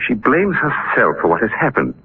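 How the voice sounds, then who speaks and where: A man's voice: medium pitch, huge pitch swings, moderate pace, husky, heavily acted. An actor playing an anxious, polite older father in a 1940s radio drama, speaking earnestly and formally with some hesitation. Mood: sorrowful. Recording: muffled, noisy 1940s radio recording.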